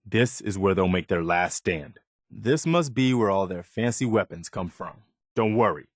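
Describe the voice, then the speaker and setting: Earnest, low-voiced militia officer. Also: male voice, animated, husky, dry recording, studio quality, full character voice. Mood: alert, focused. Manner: earnest, measured, conscientious. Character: earnest, weary militia officer in post-apocalyptic wasteland, loyal and idealistic. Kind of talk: video game character dialogue.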